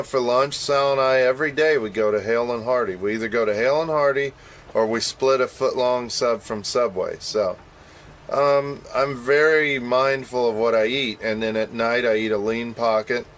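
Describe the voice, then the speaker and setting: A man's voice: mid-range voice, a bit bright, a little hiss or hum. A man chatting casually on a radio show, rambling and making self-deprecating admissions. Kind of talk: casual on-air chat.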